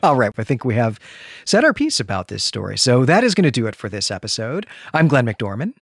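A man hosts a book discussion podcast in a reflective, articulate voice at a middling pitch. He talks through his thoughts with open appreciation. The sound is dry, with very little room in it. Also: sing-song, a little fast, gravelly, breathy edge, no room sound. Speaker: podcast co-host. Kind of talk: literary discussion podcast, casual analytical chat.

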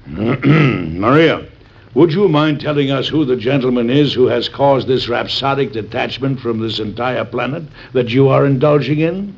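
A man's voice: medium pitch, a little slow, vocal fry, slightly rough. An actor playing an exasperated, blustering father and president, with sarcastic, indignant questioning, in old-time radio drama style. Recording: dull, noisy old radio recording.

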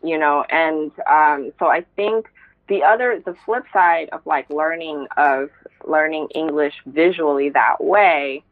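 A woman's voice: low voice, unhurried. A woman from Indonesia speaks as a guest in an interview. She talks reflectively, with many fillers and frequent laughs. Her voice comes through a tinny, phone-like line. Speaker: poet, guest. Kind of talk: casual interview talk.

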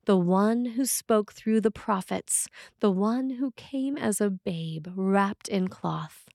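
A woman priest reading a scripted sermon in a measured, deliberate and solemn manner. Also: mid-range voice, gravelly, darkish tone, no room sound, studio quality. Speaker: Episcopal priest reading a sermon written by a colleague. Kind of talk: read sermon, scripted narration.

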